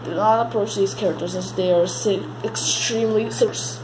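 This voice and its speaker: Clear background noise sits around a woman's amateur recording. She reads a scripted mock emergency alert in the role of announcer. Her delivery is halting, with stumbles and repeated words, at a medium pitch. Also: fairly even intonation. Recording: noisy amateur home recording.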